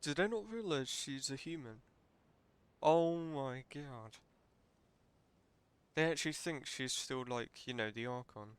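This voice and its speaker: A man's voice: medium pitch, a little slow, long pauses, clear, resonant tone, dry recording. A man giving casual game-stream commentary, musing aloud as he plays.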